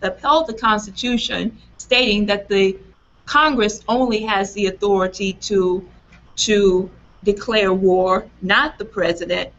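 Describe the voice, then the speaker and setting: A woman in her forties, raspy from allergies, introducing a political talk in an earnest, emphatic way. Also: medium pitch, measured pace, a bit bright. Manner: earnest, emphatic, laughs at own slips. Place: small office room, indoors. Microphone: webcam on a video call. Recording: dull lo-fi webcam sound.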